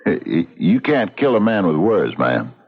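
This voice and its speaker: An actor playing a laconic, knowing frontier lawman in a radio drama, terse and deliberate. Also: deep voice, very animated, breathy edge, full character voice. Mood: matter-of-fact. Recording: slightly dull radio drama recording.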